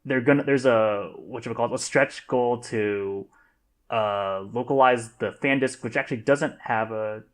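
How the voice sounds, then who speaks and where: Halting man in casual discussion. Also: mid-range voice.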